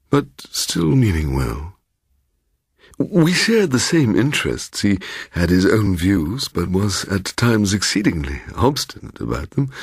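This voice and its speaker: A man voicing a pompous, insinuating, sinister gentleman obsessed with the occult in a dramatised audiobook. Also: sits low, gravelly, breathy edge, no room sound, clean studio sound, full character voice.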